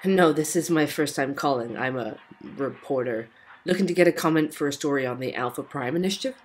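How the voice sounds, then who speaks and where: A polite and slightly hesitant reporter, acted by a woman in an audio drama phone scene. The voice sits very low and asks courteously. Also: brisk, lightly acted. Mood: polite, tentative.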